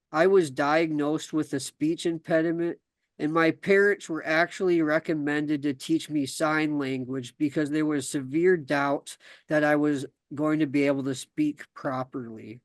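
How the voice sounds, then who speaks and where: A man's voice: a little high, no echo. A young man from South Dakota hosting a podcast, talking casually with many fillers and restarts, then reading his own story aloud. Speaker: podcast host.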